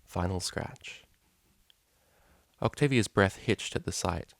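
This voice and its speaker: A man reading a scripted story as narrator, in a measured, expressive storytelling manner. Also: sits low, gravelly, dry recording, studio quality. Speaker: fan fiction reader, narrator.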